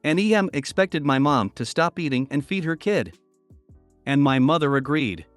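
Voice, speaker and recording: Man reading reddit stories, higher voice. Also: animated, normal speed, no echo, soft background music. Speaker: reddit story narrator. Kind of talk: scripted narration reading posts.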